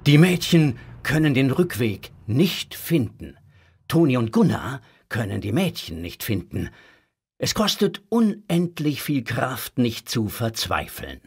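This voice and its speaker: A man narrating a children's audio drama with a husky voice and dramatic, suspenseful delivery. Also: medium pitch, sing-song, unhurried, darkish tone, full character voice. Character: unhurried storyteller narrating a children's adventure.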